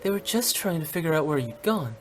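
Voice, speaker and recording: A friendly young opera singer chats along quickly and curiously. Her voice sits slightly low. Soft music plays faintly behind. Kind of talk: video game voice acting.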